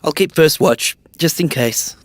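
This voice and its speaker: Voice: medium pitch, a little slow, no echo, natural voice. An actor playing a guarded, sarcastic young survivor in a dystopian America, talking casually in a naturalistic audio drama. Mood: dry, relaxed.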